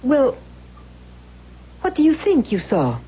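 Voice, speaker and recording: A plain-spoken, caring wife in an old radio drama. Her high voice moves expressively as she coaxes and doubts. The recording is dull. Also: wildly swooping pitch, takes it slowly, husky, exaggerated voice. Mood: skeptical. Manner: coaxing, questioning, familiar. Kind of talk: 1970s radio drama acting.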